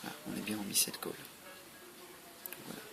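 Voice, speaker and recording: A man's voice: normal speed, over loud music. A man giving an informal, matter-of-fact, step-by-step product demonstration. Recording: noisy amateur recording with clicks.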